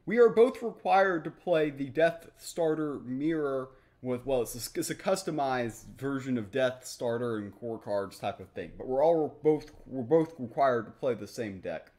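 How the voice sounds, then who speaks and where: A man's voice: mid-range voice, animated, measured pace, few pauses, a little mellow. A young man giving casual, explanatory game commentary in a rambling, matter-of-fact way. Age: young adult. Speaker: gaming video host. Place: small home room, indoors.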